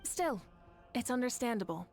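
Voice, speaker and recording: A woman playing a composed, confident female leader in a video game, speaking in an assured, measured and formal way. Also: a little high, expressive. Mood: calm, assured. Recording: music behind the voice.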